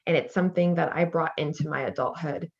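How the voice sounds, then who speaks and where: Low-voiced woman, earnest and dull-sounding. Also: fast, vocal fry. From Canada. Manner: earnest, many fillers, rising intensity when upset. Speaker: panel guest. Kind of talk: candid panel discussion.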